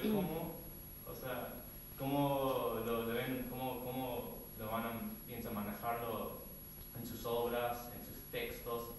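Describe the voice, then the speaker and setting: A hesitant man whose first language is not Spanish asks the panel a question, halting and restarting as he searches for words. He is picked up from far off in a big, echoing hall, with a steady noise behind him. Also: mid-range voice. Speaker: audience member asking a question. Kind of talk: casual spoken question at a panel. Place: large echoey hall. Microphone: distant room mic.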